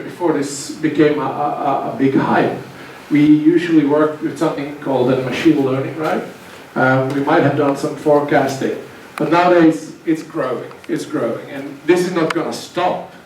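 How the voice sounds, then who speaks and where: A man's voice: a little high, steady tone, moderate pace, hardly any pauses, darkish tone. Hesitant man in echoey hall. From Finland. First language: Swedish. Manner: hesitant, many restarts and repeated words. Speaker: guest speaker, university lecturer. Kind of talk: seminar talk to students. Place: lecture hall. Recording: echoey hall, distant mic, faint noise.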